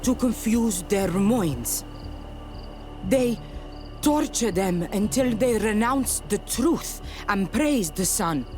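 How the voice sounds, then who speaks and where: An actress playing a fierce, vengeful native warrior woman in a video game, blunt and deliberate. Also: mid-range voice, animated, over music. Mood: bitter, grim.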